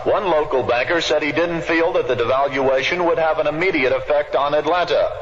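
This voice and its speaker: A man's voice: a little high, brisk. A man giving a scripted news report as a 1960s radio reporter. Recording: muffled, reverberant 1960s radio recording.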